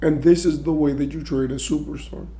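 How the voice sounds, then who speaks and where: A man whose voice is worn and hoarse, clearing his throat as he goes. His tone is very dark and muffled and stays mostly flat, though it sits a little high for a man. He talks casually, thinking out loud with lots of filler checks. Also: a little fast. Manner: chatty, thinking aloud, many "right?" and "you know". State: losing his voice. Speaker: sports commentary YouTuber. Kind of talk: casual basketball trade commentary.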